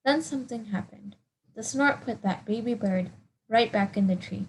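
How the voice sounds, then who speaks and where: A woman volunteer narrating a children's storybook with simple, clearly phrased reading. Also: a little high, normal speed, slight background noise, poor audio.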